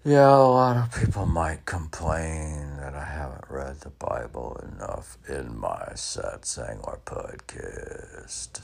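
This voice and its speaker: A man in his sixties rambling alone between lines of his own poetry, halting, questioning himself and repeating phrases. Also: rumbling low, gravelly, breathy edge. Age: 60s. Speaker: poet reading own work and commenting. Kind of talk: rambling solo monologue mixed with poem reading.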